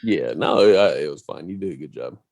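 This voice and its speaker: A man co-hosting a casual sports podcast, talking in a drowsy, unhurried way. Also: a bass voice, animated, vocal fry, darkish tone, no room sound, clean studio sound. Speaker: podcast co-host.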